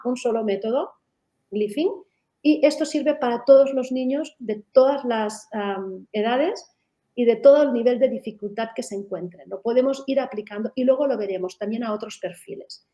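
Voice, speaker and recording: A woman's voice: a little high, normal speed, dark tone. Woman explaining in a webinar. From Catalonia. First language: Catalan. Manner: explanatory, with frequent tag questions and restarts. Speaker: method founder presenting in a webinar. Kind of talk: webinar presentation.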